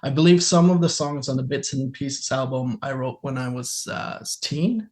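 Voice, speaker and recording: A man's voice: medium pitch, moderate pace, a little mellow. A man, a musician guest on a podcast, speaking in English. He talks reflectively and rambles, using many fillers. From Norway. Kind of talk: casual interview chat.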